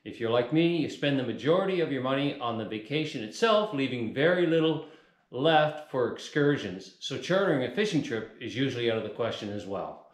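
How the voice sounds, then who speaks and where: A man hosting a fishing vlog, talking casually in a folksy, unscripted, halting way. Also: medium pitch, animated, husky. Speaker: fishing channel host. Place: garage, outdoor beach and shoreline, varied spots.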